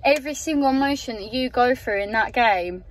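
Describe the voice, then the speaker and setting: A woman's voice: a little high, a little fast, a little hiss or hum. Teary young woman in a car. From England, outside Yorkshire. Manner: emotional, gushing, voice wavering with tears. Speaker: football fan. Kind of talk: emotional vlog to camera. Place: inside a parked car at night. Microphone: phone camera held at arm's length.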